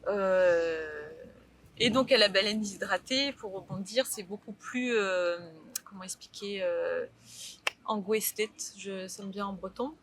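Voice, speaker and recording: A woman's voice: mid-range voice, unhurried, a bit bright, a little hiss or hum. Young breton painter lady, lots of euhs and restarts, talks about her art loosely. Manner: hesitant, many fillers and restarts. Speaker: painter, interview guest. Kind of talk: casual interview chat.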